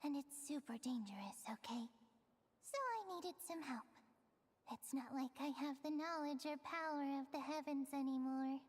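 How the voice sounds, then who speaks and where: Tiny, very high-pitched angelic spirit. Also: female voice, animated, moderate pace. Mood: defensive. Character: small female angelic spirit, cute, blunt, secretly kindly. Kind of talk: scripted game dialogue.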